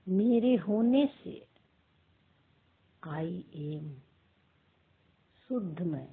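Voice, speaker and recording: Very slow, husky woman guiding meditation. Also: fairly low pitch, expressive. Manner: slow, deliberate phrases with long pauses. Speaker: meditation guide. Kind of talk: guided meditation. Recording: muffled narrow phone-like audio.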